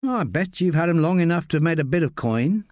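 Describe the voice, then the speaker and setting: Man voicing a teasing, probing woman, lifted lively pitch. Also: brisk, dry recording, clean studio sound, close to an everyday voice.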